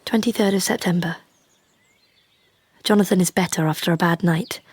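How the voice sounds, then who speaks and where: An actress playing a composed, devoted young Victorian wife, speaking precisely and formally in a radio drama. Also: female voice, lowish voice, husky, dry recording. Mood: reflective. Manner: measured, precise, softly formal.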